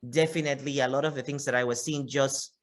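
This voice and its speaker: A man's voice: medium pitch, fairly even intonation, fast. A man with a Panamanian Spanish accent giving long, conversational interview answers, often repeating words as he goes. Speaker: interview guest, physician.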